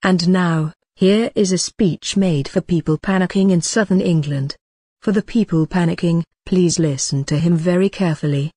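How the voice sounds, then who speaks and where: A woman voicing a formal emergency broadcast announcer, reading a scripted alert in a grave, measured, deliberate way. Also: lowish voice, mellow, breathy edge, dry recording, studio quality.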